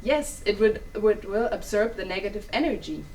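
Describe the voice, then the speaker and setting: A young woman in a staged play reading, playing a calm, knowing grandmother who gives mystical advice. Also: medium pitch, moderate pace, lightly acted. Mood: knowing, reassuring. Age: young adult. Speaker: student actor in a dramatic reading. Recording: distant mic, noisy room ambience.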